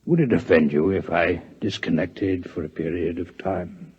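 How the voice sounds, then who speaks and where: A dignified, courteous alien scientist in a fifties radio play. The voice is low and very raspy, with formal, pedantic phrasing, on a dull old recording. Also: male voice, moderate pace, a little mellow. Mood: politely curious. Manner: formal, pedantic, stiffly polite. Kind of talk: 1950s radio drama acting. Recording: muffled vintage radio recording.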